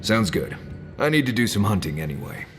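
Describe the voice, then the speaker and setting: A man's voice: lowish voice, big pitch swings, brisk. A man playing an uneasy, down-to-earth hunter companion in a video game, hesitant and plainspoken. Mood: sympathetic. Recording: roomy echo, music behind.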